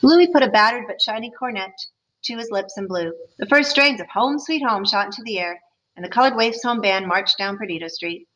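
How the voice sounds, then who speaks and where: A woman teacher reading a picture book aloud, expressive, with chatty asides to her listeners. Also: mid-range voice, a little fast, low-quality recording. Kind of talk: storybook read-aloud with comments.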